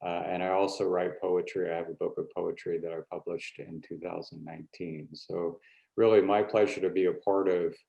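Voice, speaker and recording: An American man introducing himself informally at an online meeting, speaking haltingly with pauses mid-phrase. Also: sits low, flat delivery, vocal fry, dark tone, muffled recording. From California, United States. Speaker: board trustee.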